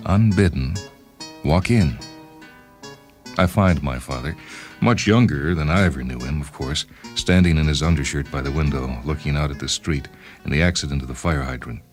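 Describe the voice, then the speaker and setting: A man plays an obsessive time-travelling inventor telling his own story. The voice is very deep, deliberate and pedantic, coolly self-absorbed. Music runs behind the narration. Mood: wry, detached. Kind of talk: first-person dramatized short-story narration.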